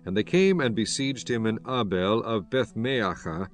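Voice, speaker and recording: A man narrating scripture from a script in a measured, solemn manner. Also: on the low side, soft background music.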